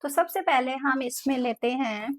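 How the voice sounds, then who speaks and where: Lady teacher, slightly high voice, slows down and repeats each letter sound for practice. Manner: slow, careful demonstration of letter sounds with repetition. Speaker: Quran pronunciation teacher. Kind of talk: step-by-step tajweed lesson.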